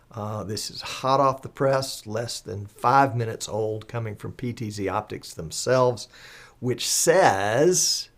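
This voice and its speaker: A man hosting a live show in a chatty, folksy, rambling and enthusiastic way, croaky as he recovers from the flu. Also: medium pitch. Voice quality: slightly husky, croaky. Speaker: live stream show host.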